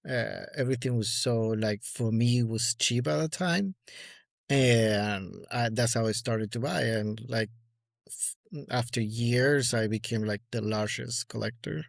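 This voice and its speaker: A man's voice: mid-range voice, a little slow, creaky, no echo, studio quality. A man in his forties with an Argentine accent, a guest speaking in a casual interview with many fillers and restarts. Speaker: guest collector.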